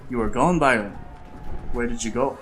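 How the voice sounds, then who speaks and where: A man's voice: medium pitch, normal speed, close to an everyday voice. A man playing a concerned male comrade-in-arms in an audio drama. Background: very loud music.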